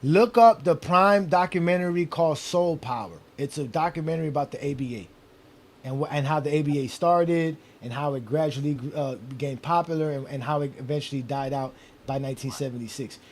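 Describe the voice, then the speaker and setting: A man in his forties hosting a casual sports debate show, talking in an animated way and repeating himself when he gets going. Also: a little high, brisk, a little hiss or hum. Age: 40s. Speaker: show host.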